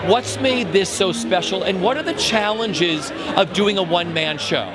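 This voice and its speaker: A man's voice: high-pitched, normal speed. A man asking questions as an entertainment interviewer. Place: crowded opening-night event. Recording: loud crowd noise around.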